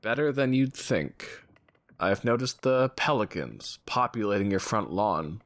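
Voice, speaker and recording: A man acting a brooding, guarded doctor in an audio drama, with a dry, terse, deadpan delivery. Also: on the low side, measured pace. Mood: dry, cutting. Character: brooding male doctor, guarded, dryly cutting.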